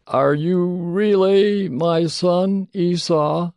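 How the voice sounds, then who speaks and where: A man's voice: sits high, fairly even intonation, very slow, darkish tone, smooth, no echo, pitched above the performer's own voice, full character voice. A man voicing a frail, solemn old father in a dramatised scripture reading, speaking in a declamatory way. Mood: searching, doubtful. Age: older.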